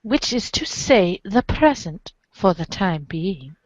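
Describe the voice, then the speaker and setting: A woman's voice: medium pitch, very animated, takes it slowly, dry recording, lo-fi sound. A woman performing theatrical read-aloud narration as part of a podcast cast.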